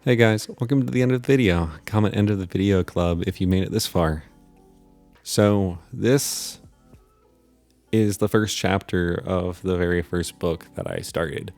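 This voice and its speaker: Deep, creaky-voiced man chatting casually. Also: a little mellow, soft background music. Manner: halting, informal, rambling. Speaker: channel host and writer. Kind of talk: casual outro chat to viewers.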